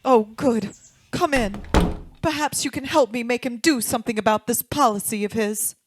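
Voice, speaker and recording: A woman's voice: on the high side, moderate pace, background noise, lightly acted. A woman playing an anxious, well-off young married woman in an old-time radio drama, earnest and genteel. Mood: eager. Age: young adult.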